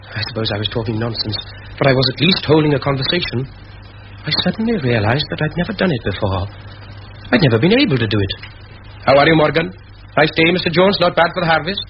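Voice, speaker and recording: An actor playing an ardent young Welsh scholar in a 1940s radio drama, with a Welsh accent and an articulate, fervent delivery. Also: male voice, fairly high pitch, lightly acted. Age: young adult. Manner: fervent, articulate, pouring out words. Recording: dull, noisy vintage radio recording.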